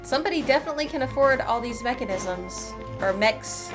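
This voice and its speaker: A woman streams a video game with casual running commentary. Her voice sits somewhat high. Game music is clearly audible behind her. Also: animated, brisk.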